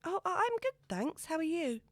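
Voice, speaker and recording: A woman voicing an excitable, lovestruck woman in an audiobook, breathless, gushing and eager to please. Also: very high-pitched, normal speed, no echo, studio quality, voice pushed up. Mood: flustered, excited.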